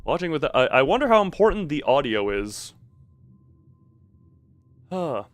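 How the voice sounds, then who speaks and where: A man's voice: a little high, big pitch swings, normal speed, no echo. A man live-streaming a video game with casual commentary and chat with viewers, rambling in half-finished thoughts with playful sound words.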